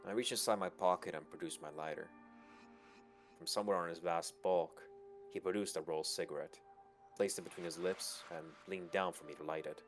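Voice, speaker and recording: A man's voice: sits low, steady tone, fairly quick. A man reading first-person narration from a visual novel as a storyteller. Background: background music.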